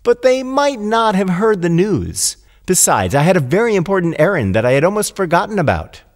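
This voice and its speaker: Man acting storybook fox, sly, over-friendly, gushing, high-pitched, wildly animated. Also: fairly quick, exaggerated voice. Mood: nervous, excusing. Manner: gushing, exaggerated joy. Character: sly hungry male fox, falsely friendly, over-excited.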